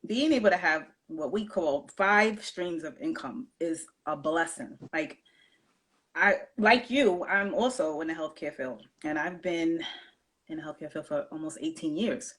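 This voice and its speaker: A woman's voice: deep voice, measured pace. Affirming woman hosting a live chat. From New York. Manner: warm, affirming, many fillers. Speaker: host. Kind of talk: casual live-stream chat.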